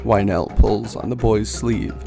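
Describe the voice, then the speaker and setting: A man reading dramatic scripted fantasy narration in a solemn storyteller manner, with a creaky voice. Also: lowish voice, fairly even intonation, unhurried, music in the background.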